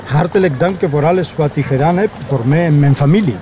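Actor as gracious high-voiced statesman, noisy ambience. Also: fairly quick, lightly acted. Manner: formal, polite. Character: gracious, courtly Spanish prime minister. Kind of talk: radio drama acting.

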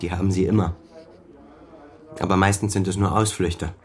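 Bitter, guarded son, low voice. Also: male voice, steady tone, moderate pace, breathy edge. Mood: bitter, resigned. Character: weary, defensive son, former officer, caring for sick mother. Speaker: actor in a radio crime drama. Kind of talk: naturalistic German radio play dialogue.

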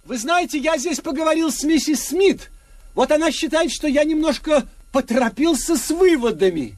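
Very high-voiced earnest gentleman. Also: lively intonation, normal speed, no echo. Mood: earnest, conciliatory. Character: earnest, well-meaning American presidential candidate. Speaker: actor in a radio play. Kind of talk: Soviet radio drama acting.

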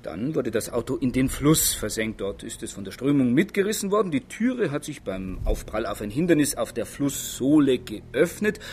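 Condescending, animated police chief investigator. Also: male voice, mid-range voice, normal speed, hardly any pauses, a little hiss or hum. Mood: businesslike. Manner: sarcastic, officious. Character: condescending male head of a police murder investigation.

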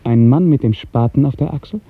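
A man narrating a literary 1950s German radio drama in a dramatic, declamatory style with measured diction. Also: mid-range voice, slightly rough. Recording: 1950s radio recording, thin narrow band, music.

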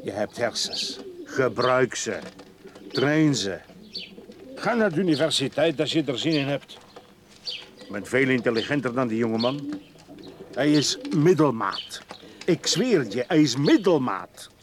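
A stern middle-aged father from a radio play, voiced by an actor. He lectures in firm, clipped phrases at a middling pitch, disapproving and domineering, with birds and clear noise in the background. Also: expressive, measured pace, long pauses, natural voice. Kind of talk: radio drama dialogue.

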